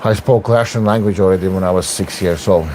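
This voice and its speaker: Man, arm wrestler, lowish voice, broken English, casual training vlog, faint background noise. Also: fairly even intonation, fairly quick, warm tone, low-quality recording. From Latvia. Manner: broken English, chatty, talks through exertion.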